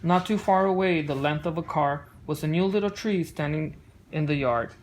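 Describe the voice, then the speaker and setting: A woman reading a children's story aloud and offering casual, gentle encouragement as a parent. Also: low voice, moderate pace. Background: music.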